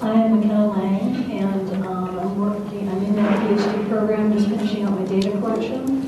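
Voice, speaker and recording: A woman's voice: medium pitch, fairly even intonation, fairly quick, lo-fi sound, background noise. A woman from Washington state introducing her doctoral work in a matter-of-fact way. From Washington state, USA. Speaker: doctoral student. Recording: echoey room, distant mic.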